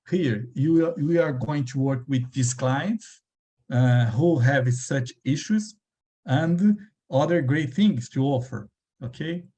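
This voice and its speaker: A man's voice: mid-range voice, dark tone, husky. A man speaking on a panel discussion, hesitant, with many fillers and restarts.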